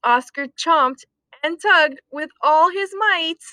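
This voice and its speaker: A woman reading a children's story aloud expressively, with deliberate dramatic emphasis. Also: high-pitched, sharp tone, dry recording. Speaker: storytime reader.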